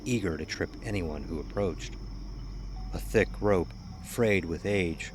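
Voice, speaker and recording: A man narrating a scripted horror story in a measured, ominous, dramatic storytelling manner. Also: extremely low pitch, noisy background. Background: music under the voice.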